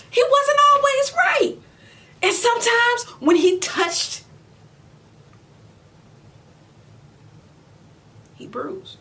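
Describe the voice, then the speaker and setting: A woman in her forties playing a weary, proud, loving mother in an emotional stage monologue, speaking deliberately with heavy pauses. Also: sing-song, sharp tone, exaggerated voice. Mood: pained, emphatic. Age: 40s. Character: weary, proud middle-aged mother and widow, wounded but loving. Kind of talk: emotional stage monologue, self-taped audition. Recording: distant mic, noticeable low rumbling noise.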